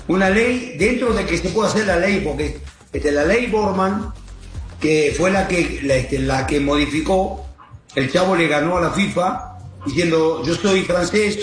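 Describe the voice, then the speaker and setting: Man, high-pitched voice, rambling emphatic explainer, coach in an interview, faint music behind. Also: reverberant, low-quality recording. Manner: rambling, emphatic explaining, checking the listener follows. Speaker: guest soccer coach. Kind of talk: casual interview talk.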